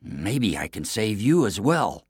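A man's voice: on the low side, big pitch swings, slow, darkish tone, breathy edge, dry recording, studio quality, exaggerated voice. A man voicing a young, good-natured fairy-tale prince, a little hesitant but brave. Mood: resolute.

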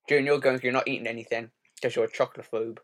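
A young man hosts a laid-back gaming podcast, swearing now and then and tripping over his words. His voice sits at a middling pitch. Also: steady tone, very fast. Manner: swears freely, stammers on restarts. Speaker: podcast host. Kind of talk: casual gaming podcast chat.

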